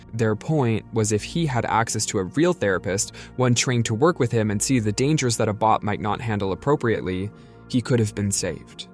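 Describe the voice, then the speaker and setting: A man's voice: fairly low pitch, a little mellow. A man reading a written message aloud in a plain, explanatory way. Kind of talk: scripted reading of an email. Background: music.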